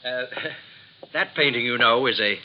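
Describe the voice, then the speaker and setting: A man's voice: medium pitch, measured pace, close to an everyday voice. A man acting a polite, formal art gallery dealer in a 1950s radio drama, speaking in a courteous, hesitant way. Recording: dull vintage radio recording, faint noise.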